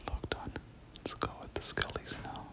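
Whispering ASMR gamer, breathy and unvoiced, prone to looping short phrases while narrating play. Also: moderate pace. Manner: repeats words and short phrases over and over. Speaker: gaming video host. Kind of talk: whispered ASMR game commentary.

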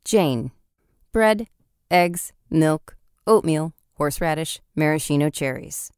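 Actor as embittered author, smooth and incantatory. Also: male voice, fairly high pitch, lively intonation, slow, no echo, clean studio sound. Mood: wry, brooding. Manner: incantatory, repetitive, formal. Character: embittered eccentric author reading his own letter aloud. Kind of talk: dramatic letter reading.

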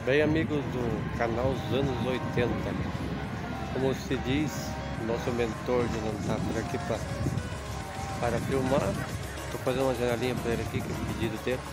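A man films on a handheld phone at an outdoor flea market while talking. His voice sits at a middling pitch and his narration wanders, halting and circling back on itself. Loud music plays behind him throughout. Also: steady tone, low-quality recording. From Curitiba region, Brazil. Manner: rambling, repetitive, halting. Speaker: guest filming for the channel. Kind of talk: casual walkabout narration.

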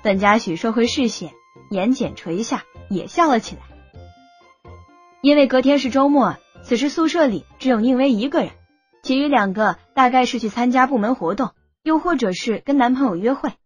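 High, husky female audiobook narrator. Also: expressive, moderate pace, darkish tone, muffled recording, soft background music. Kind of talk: scripted story narration.

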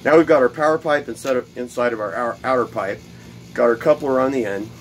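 Man, DIY host at his workbench, plain mid-pitched voice, loose and rambling, faint shop hiss behind him. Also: brisk. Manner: rambling, repeats words, many fillers. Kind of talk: casual workshop how-to demonstration. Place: cluttered home workshop at a workbench.

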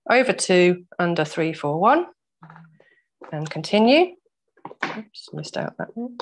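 A woman with a croaky voice teaching a weaving workshop over a video call, patiently explaining each step and laughing off her own mistakes. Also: fairly low pitch, very animated, slow, noisy background. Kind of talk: step-by-step craft demonstration. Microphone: laptop mic over video call.

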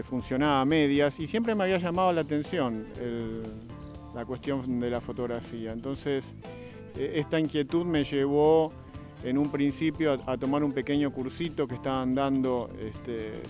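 A man talks in a measured, reflective way, pausing on fillers and restarting his phrases. His pitch sits in the middle range. He is recorded outdoors on a handheld microphone. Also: a little mellow, faint music behind. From Buenos Aires, Argentina. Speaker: interviewee, photographer and diver. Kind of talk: recorded interview testimony. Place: outdoors under trees.

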